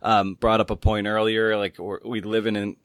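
A man with a slightly low voice hosts a casual interview. He speaks informally, restarting phrases as he thinks aloud. The sound is very dry, with no room echo. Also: little pitch movement, moderate pace. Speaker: podcast host, interviewer.

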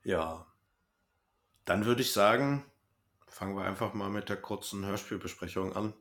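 A man's voice: lowish voice, normal speed, gravelly, fairly bright tone. A man co-hosting a podcast, chatting casually as he reviews audio dramas. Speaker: podcast co-host; bus driver.

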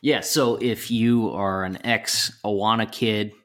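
A man's voice: fairly low pitch, steady tone, slow pace, fairly bright tone. Wry young man hosting a podcast. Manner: wry, joking, laughs easily. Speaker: podcast co-host. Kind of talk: casual chat.

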